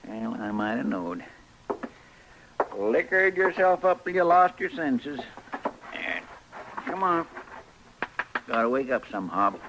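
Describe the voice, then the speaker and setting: An actor playing a fussy, good-hearted frontier deputy in an old radio western, with a grumbling rustic American drawl. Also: male voice, mid-range voice, sing-song, takes it slowly, long pauses. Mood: scolding. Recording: noisy, crackly old radio recording.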